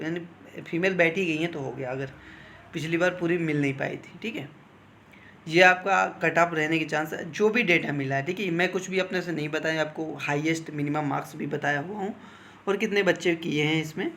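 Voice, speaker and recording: Male coaching instructor, fairly high register, informal explanatory delivery with regular check-ins to listeners. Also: a bit bright, slight background noise. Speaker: exam coaching teacher advising candidates. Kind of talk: informal explanatory talk on exam cut-offs.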